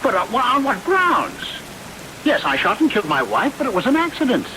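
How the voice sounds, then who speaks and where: A man's voice: very high voice, huge pitch swings, moderate pace, sharp tone, exaggerated voice, rough recording. An actor in a 1970s radio drama playing a debonair, amoral man who indignantly pleads innocence, on a hissy old broadcast recording. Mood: protesting, agitated.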